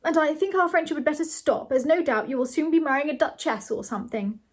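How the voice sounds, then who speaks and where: A woman's voice: high voice, fast, voice pushed up, natural voice. A woman voicing a peevish, haughty young lady in a comic storybook, with a huffy, sneery manner. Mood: peevish, dismissive. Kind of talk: comic storybook character reading.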